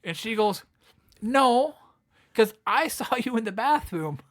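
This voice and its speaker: A man's voice: extremely high pitch, animated, slow. A man, a comedian guest, telling stories in casual podcast chat, often restarting and repeating his phrases.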